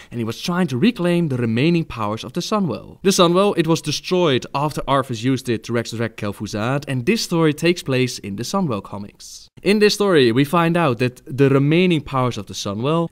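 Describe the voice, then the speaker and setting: Male narrator explaining lore, medium pitch.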